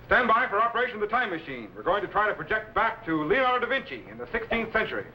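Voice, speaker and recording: An actor playing a commanding, scholarly time-machine captain in a crisp, authoritative 1950s science fiction serial style. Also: male voice, sits high, very animated, quick pace, a little hiss or hum, exaggerated voice. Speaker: captain and mentor. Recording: dull vintage broadcast with loud music.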